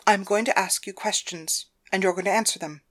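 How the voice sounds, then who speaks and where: A woman's voice: medium pitch, a little fast, a bit bright, close to an everyday voice. A woman voicing a domineering, controlling wife with a stern, commanding manner in audiobook dialogue. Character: domineering, controlling wife and mistress interrogating her husband.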